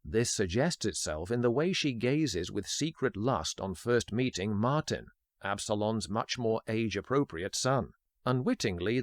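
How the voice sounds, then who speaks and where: Deep-voiced man narrating a podcast. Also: dry recording, clean studio sound. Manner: measured, formal delivery. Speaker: podcast host. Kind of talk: scripted film history narration.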